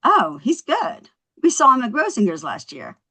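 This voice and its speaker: Woman reading as a young housewife who's flustered and disbelieving, sharp bright voice, table read. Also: fairly high pitch, wildly swooping pitch, normal speed, a little hiss or hum, full character voice. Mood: defensive. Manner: incredulous, protesting.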